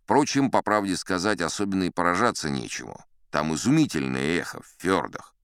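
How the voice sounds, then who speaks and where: An actor playing a chatty, boastful old sea captain, spinning tall tales in a theatrical audiobook manner. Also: male voice, deep voice, animated, fairly quick, fairly bright tone, smooth, no echo, clean studio sound. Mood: relishing, chatty. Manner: theatrical, leisurely, old-fashioned conversational raconteur. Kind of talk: dramatized audiobook storytelling.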